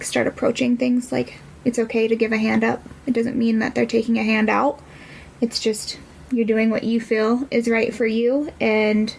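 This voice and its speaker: Creaky-voiced woman in podcast discussion. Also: fairly high pitch, darkish tone, slight background noise. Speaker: podcast guest. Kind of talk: earnest conversational discussion.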